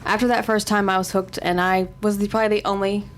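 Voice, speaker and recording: A woman's voice: medium pitch, flat delivery, fast talker, faint music behind. A woman casually sharing personal anecdotes as a podcast panelist.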